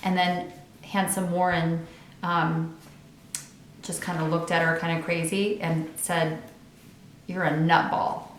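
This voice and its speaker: A woman's voice: lowish voice, flat delivery, takes it slowly, pauses often, a little hiss or hum. Woman reading to children, echoey room. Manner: chatty and informal, addressing young listeners. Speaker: read-aloud host. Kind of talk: children's book read-aloud with casual asides.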